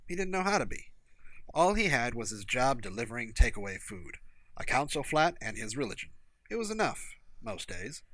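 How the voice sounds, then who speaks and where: Male audiobook narrator. Animated pitch, crisp forward edge, dry close sound. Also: no echo, rough recording. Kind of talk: scripted fiction narration.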